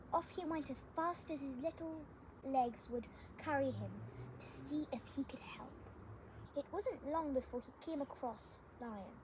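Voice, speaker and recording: High-voiced woman reading a children's story slowly. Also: noisy background. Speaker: storybook reader, channel host. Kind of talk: children's story read-aloud.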